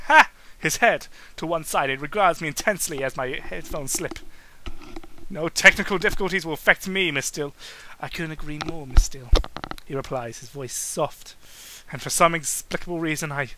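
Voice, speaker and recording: A man's voice: a little high, noisy background. A man giving a goofy, exaggerated comedic reading of prose, often stumbling and breaking into asides. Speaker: amateur reader making a comedic dramatic reading. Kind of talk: hammy comedic audiobook-style narration.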